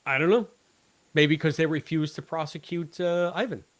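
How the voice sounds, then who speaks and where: A man's voice: fairly high pitch, moderate pace. A middle-aged American man co-hosting a podcast in casual, dry, joking conversation. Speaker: podcast co-host. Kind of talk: casual banter about a show.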